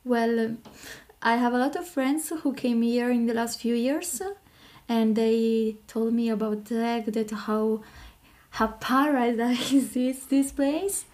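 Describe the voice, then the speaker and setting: A woman with an Italian accent speaks as an interview guest. Her voice is fairly high yet dark and relaxed. She goes slowly, with halting, hesitant phrasing. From Italy. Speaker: podcast guest. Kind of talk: informal interview talk.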